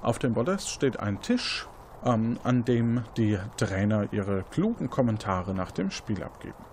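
A man's voice: fairly low pitch, sing-song, a little slow, a little mellow, slight background noise. A man hosting as game master, narrating an interactive detective game in a conversational, improvised manner.

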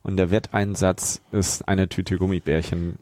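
A man's voice: sits low, little pitch movement, a little slow, a little mellow, no room sound. A man moderating a podcast in a casual conversational style.

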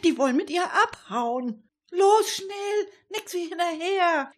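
A woman's voice: very high voice, animated, slow pace, voice pushed up, exaggerated voice. A woman playing a fretful but resourceful amateur detective in a radio play, chatty and full of exclamations. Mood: panicked.

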